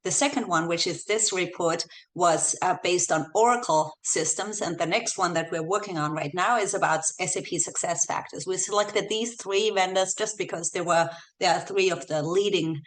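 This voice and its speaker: A woman's voice: on the low side, moderate pace, hardly any pauses, slight background noise. A woman from Austria with an Austrian accent, a guest researcher explaining a report in a podcast interview with frequent ums and uhs. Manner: explanatory, with fillers like um and uh. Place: home office in the Bay Area.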